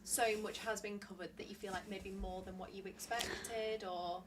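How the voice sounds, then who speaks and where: A woman's voice: medium pitch, steady tone, normal speed, background noise. Woman asking long thoughtful questions. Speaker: co-host interviewer. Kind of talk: casual chat.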